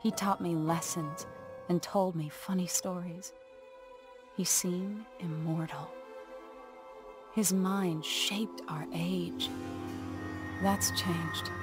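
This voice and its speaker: An actress playing a young exiled empress in a video game, grave, resolute and restrained, speaking in a measured way. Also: female voice, lowish voice, steady tone, music in the background. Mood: somber, reflective. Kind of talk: video game narration and dialogue.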